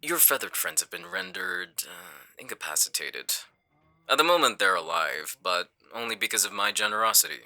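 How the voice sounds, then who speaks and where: A man playing a calculating, menacing villain in an audio roleplay, with a smug, condescending, deliberate delivery. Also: deep voice, expressive, sharp tone, exaggerated voice. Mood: calm menace. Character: smooth-talking male villain, calculating, menacing leader. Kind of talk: audio roleplay acting on a phone call.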